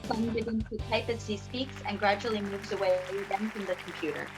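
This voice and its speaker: A woman's voice: medium pitch, steady tone, fairly quick, over music, low-quality recording. A woman reading stage directions as scripted narration in a play reading over a video call.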